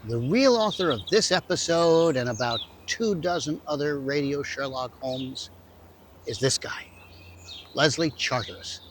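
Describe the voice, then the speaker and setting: A man hosting an audio drama, reading scripted narration emphatically with deliberate dramatic pauses. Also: on the high side, background noise. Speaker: host introducing an audio drama.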